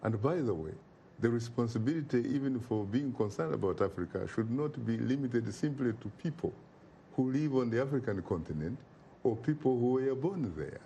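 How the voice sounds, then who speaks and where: A man speaks as an interview guest in a warm, mellow voice. He is emphatic and oratorical and drives his points home through repetition. Faint noise sits under the recording. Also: medium pitch, animated, normal speed, creaky. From Uganda. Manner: emphatic and oratorical, repeats phrases for effect. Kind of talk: impassioned interview talk.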